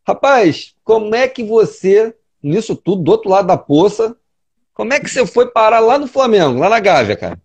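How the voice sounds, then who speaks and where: A man's voice: sits high, sing-song, moderate pace, a little mellow, husky. A man hosting a casual interview, talking in a chummy, friendly way. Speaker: interview host. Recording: dull lo-fi sound.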